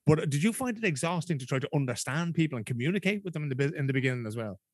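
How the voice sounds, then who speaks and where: Fast-talking Dublin Irish man. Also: on the high side, animated, no room sound, clean studio sound. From Ireland. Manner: blunt, swears casually, quick. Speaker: podcast host. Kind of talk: casual interview chat.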